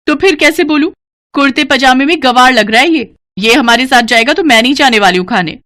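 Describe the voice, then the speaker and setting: A woman's voice: high voice, brisk, pushed and bright, pitched above the performer's own voice. A woman voicing a haughty, mocking young city college woman in a story, scornful and taunting.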